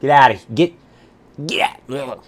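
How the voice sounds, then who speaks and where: A man's voice: medium pitch, slow pace. A man chatting casually with stream viewers and a co-host in an easygoing, informal, joking way. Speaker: live-stream roleplay player.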